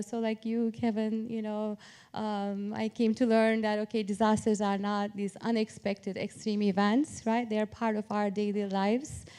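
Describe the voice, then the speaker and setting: A woman's voice: a little high, dark tone, clean tone. A woman from Turkey speaking as an academic on a panel, explaining her approach in an informal, structured way. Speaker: academic panelist, professor of public policy.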